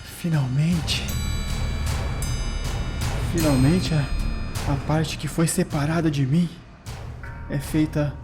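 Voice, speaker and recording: Um homem interpretando um personagem de fantasia corrompido e sedento de poder, exultante, com fala solene e declamatória. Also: male voice, fairly high pitch. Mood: blissful relief. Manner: slow, solemn, declamatory. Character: power-hungry corrupted man, exultant and solemn, craving a rune.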